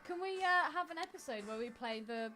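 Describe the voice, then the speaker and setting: A woman with a high voice that swings widely in pitch co-hosts a casual podcast. She is blunt and teasing and swears freely. Music plays in the background. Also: expressive, brisk, pushed and bright. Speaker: podcast co-host. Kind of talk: casual banter. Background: music playing behind the talk.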